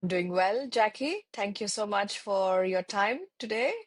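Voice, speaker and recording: A middle-aged woman from India speaking as an interview guest on a video call, thoughtful and measured, pausing often with fillers. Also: medium pitch, no room sound. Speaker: interview guest, tech channel lead.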